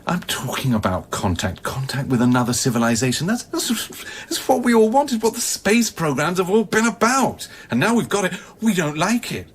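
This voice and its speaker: An actor in a radio play, playing a bitter, volatile space-station scientist who philosophizes intensely and haltingly, dropping at times toward a breathy near-whisper. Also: male voice, high-pitched, big pitch swings, slight background noise. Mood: agitated. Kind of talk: dramatic radio play acting.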